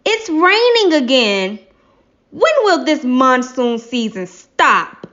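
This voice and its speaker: A woman voicing an earnest schoolchild in a classroom play, with exaggerated, clearly enunciated delivery. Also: high voice, very animated, takes it slowly, fairly bright tone, heavily acted. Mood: worried. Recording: slightly dull lo-fi recording.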